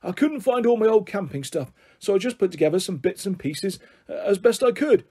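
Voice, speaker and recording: Storybook grandfather played by a man: old, eccentric, forgetful, high swooping delivery. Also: expressive, a little fast, mellow, breathy edge. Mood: muddled, wistful. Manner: rambling, forgetful. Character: muddled, rambling old grandfather with eccentric notions.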